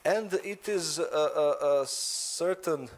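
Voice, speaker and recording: A man's voice: on the high side, slow pace. A man answering as a panel guest, stammering with many filler sounds.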